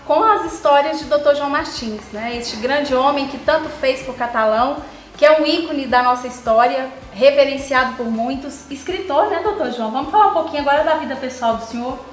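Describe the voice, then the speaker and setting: High-voiced Brazilian woman host. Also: normal speed. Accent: Brazilian Portuguese. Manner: deferential, adds tag questions. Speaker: interview host. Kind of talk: friendly interview questions. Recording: echoey room with faint music.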